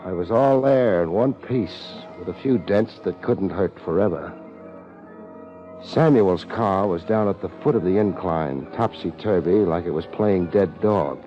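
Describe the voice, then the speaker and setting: Muffled old-radio wisecracking private eye. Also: male voice, deep voice, unhurried. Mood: wry narrating. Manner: wisecracking, slangy. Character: wry, laid-back, tough private detective. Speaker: lead actor in radio detective drama. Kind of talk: 1950s radio detective acting and narration. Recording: muffled old radio recording, music behind.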